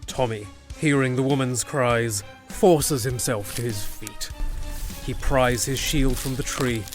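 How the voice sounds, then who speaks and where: A man's voice: medium pitch, slow, loud music behind the voice. A man narrating a scripted fantasy audio drama in a descriptive storytelling manner.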